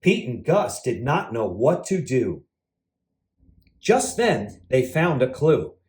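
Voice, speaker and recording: A man's voice: mid-range voice, sing-song, takes it slowly, darkish tone. A man reading a picture book aloud for children in a theatrical, exaggerated storyteller style. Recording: roomy echo.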